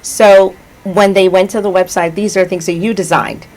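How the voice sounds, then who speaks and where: A woman's voice: mid-range voice, steady tone, normal speed, darkish tone, low-quality recording. A woman hosting an interview, chatty and encouraging, who laughs often. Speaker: interview host.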